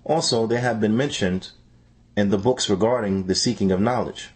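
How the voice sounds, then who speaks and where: A man with a lowish, rough-edged voice reads a religious teaching in translation. His delivery is measured, like a lecture. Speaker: translator reading an Islamic scholar's answers.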